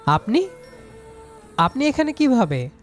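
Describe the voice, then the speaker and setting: A man's voice: extremely high pitch, sing-song, slow pace. A young man reading a scripted first-person story, acting out his own lines of dialogue. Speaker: story narrator playing the student hero. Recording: music under the voice. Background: background music.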